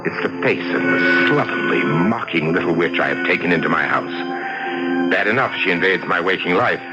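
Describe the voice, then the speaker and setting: A brooding, obsessive man delivers a dramatic radio monologue in a low voice. Loud music plays behind him on a slightly muffled recording. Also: animated. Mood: bitter. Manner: slow-building, dramatic. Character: brooding man, dreamy and bitter, narrating obsession.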